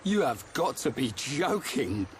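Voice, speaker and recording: Blunt, animated young British fighter. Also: male voice, medium pitch, unhurried, slight background noise. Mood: incredulous. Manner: blunt, informal. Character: brash, grumbling young male fighter, blunt. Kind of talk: video game acting.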